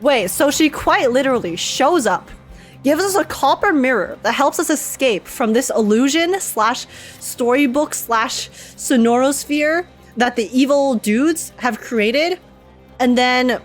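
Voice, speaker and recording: A young woman giving casual, slangy and expressive game reaction commentary as a streamer. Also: high voice, measured pace, soft background music.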